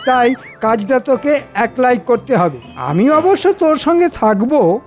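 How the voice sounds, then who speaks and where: Greedy royal minister: high-pitched, conniving cartoon voice, faint music underneath. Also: male voice, big pitch swings, measured pace, a little mellow, full character voice. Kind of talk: comic cartoon acting.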